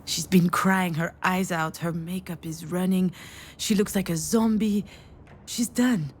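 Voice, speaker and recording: Game heroine, played by a woman: sardonic, worn-out screenwriter, unhurried confessional delivery, lowish voice, faint noise behind. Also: fairly even intonation, natural voice. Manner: wry, conversational, dry humour. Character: weary, self-mocking failed screenwriter woman, wry and sardonic. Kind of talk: first-person game narration, confessional.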